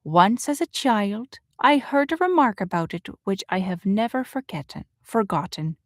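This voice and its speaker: Reader as gentle, devout working woman. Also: mid-range voice, animated, moderate pace, dry recording, clean studio sound, pitched above the performer's own voice, natural voice. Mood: earnest, reverent. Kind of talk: audiobook character voice.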